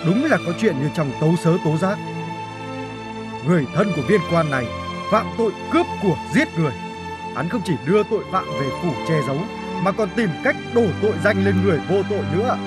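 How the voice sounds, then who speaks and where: A dutiful, deferential court officer in a historical cartoon. He speaks formally in a high voice over clear music. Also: lively intonation, fast talker. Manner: formal, respectful.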